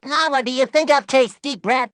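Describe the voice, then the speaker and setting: A man voicing a chirpy, pushy cartoon sea sponge pitchman in a commercial skit. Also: moderate pace, heavy background noise. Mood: pushy, upbeat.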